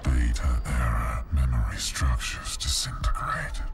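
Very deep, gravelly evil AI. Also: male voice, steady tone, over music. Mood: cold, malfunctioning. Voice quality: gravelly, synthetic. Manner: halting, clipped, cold pronouncements. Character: menacing, broken, malevolent rogue artificial intelligence. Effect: deep machine voice processing. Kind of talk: video game dramatic acting.

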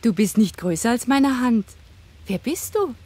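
Young fairy-tale princess, husky, fairly high, gentle, lonely, earnest, radio play. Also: female voice, animated, unhurried. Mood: curious. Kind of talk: German radio play acting.

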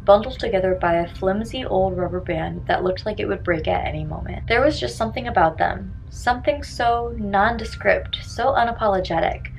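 A woman's voice: mid-range voice, normal speed, vocal fry, few pauses, low-quality recording. A young woman reading a scripted first-person story aloud with dramatic delivery.

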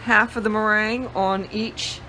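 A woman's voice: medium pitch, fairly even intonation, normal speed, bright, forceful tone, slight background noise, low-quality recording. Woman explaining a recipe in her kitchen. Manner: plain step-by-step instructions with fillers. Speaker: cooking channel host. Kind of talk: home cooking demonstration. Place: home kitchen, indoors.

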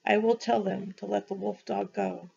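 A woman voicing a frail, calm old male shaman in a storybook, weak but reassuring in manner. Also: mid-range voice, normal speed, creaky, lo-fi sound.

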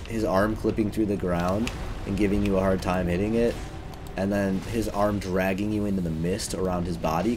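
A man with a low-pitched voice talks through gameplay in a casual, rambling way. His delivery is wry and informal, like a let's player narrating as he goes. There is clear noise behind him, with game ambience. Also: darkish tone.

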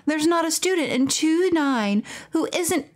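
A woman voicing an earnest, eager high school girl class representative in a horror video game. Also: lively intonation, measured pace. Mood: bright, eager.

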